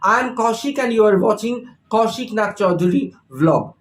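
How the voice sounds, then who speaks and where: A man with an Indian English accent gives a slow, deliberate spoken English lesson, asking rhetorical questions as he explains. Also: high-pitched, lively intonation. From India (Kolkata). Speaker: English teacher. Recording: echoey room.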